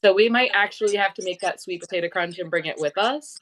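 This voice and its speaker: A young adult woman with a medium-pitched voice chats as a show's co-host. She is talkative and enthusiastic, speaking in an informal, conversational way. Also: quick pace, a bit bright. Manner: chatty, enthusiastic. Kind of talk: casual chat.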